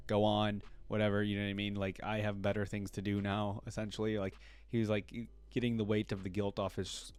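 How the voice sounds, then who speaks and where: A man with a fairly low voice talks casually to his viewers as a video host. His commentary is unscripted and conversational, rambling as he thinks aloud and often tagging points with a questioning check-in. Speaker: reaction video creator, channel host.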